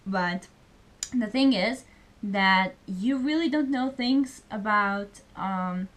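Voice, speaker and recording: A woman's voice: mid-range voice, takes it slowly, fairly bright tone. Chatty young woman from Serbia. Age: young adult. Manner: chatty, many fillers, speaks English as a second language. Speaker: student vlogger. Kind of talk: casual vlog chat.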